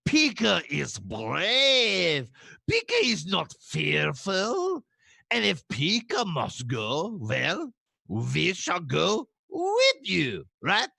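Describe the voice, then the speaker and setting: A woman voicing a boisterous, theatrical puppet who presents a children's show with a gravelly, exclamatory delivery. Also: low-pitched, sharp tone, no room sound, studio quality, exaggerated voice. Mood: firm, rousing. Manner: exclamatory, drops in foreign words, chuckles.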